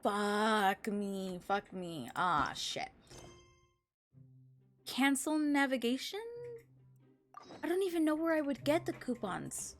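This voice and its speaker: Girl streamer chatting to viewers, kinda high voice, slow talker, soft music in the back. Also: animated. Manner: reacts aloud, musing to self and viewers. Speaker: game streamer. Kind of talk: casual live stream chat.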